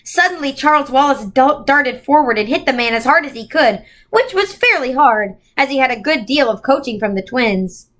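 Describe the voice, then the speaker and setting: Higher-voiced woman reading a children's story. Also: animated, normal speed, few pauses, a bit bright. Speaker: volunteer storybook reader. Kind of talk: expressive scripted narration of a children's novel.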